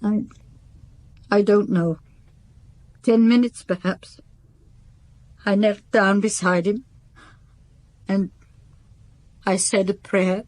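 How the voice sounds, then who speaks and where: An actress playing a devout, guarded housekeeper in a radio drama, speaking formally and haltingly. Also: female voice, medium pitch, steady tone, darkish tone, dry recording, close to an everyday voice. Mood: distressed confession. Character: devout, guarded spinster housekeeper to a French count.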